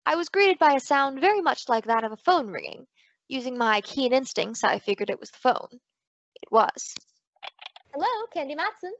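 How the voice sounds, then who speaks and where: A woman's voice: high-pitched, sing-song, normal speed, exaggerated voice. A woman playing a sassy, breezy lady detective with wry quips in an old-time radio drama. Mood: breezy, teasing. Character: sassy, wisecracking lady private detective, confident and flirtatious. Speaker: detective lead and narrator. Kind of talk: old-time radio detective acting and first-person narration. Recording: dull lo-fi audio.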